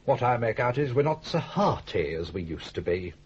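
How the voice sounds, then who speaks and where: An actor playing a gloomy, complaining son with a Northern English accent in a radio drama, his voice rough and husky. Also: mid-range voice, sing-song, normal speed, muffled recording. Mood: aggrieved. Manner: aggrieved, complaining. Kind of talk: 1980s British radio drama acting.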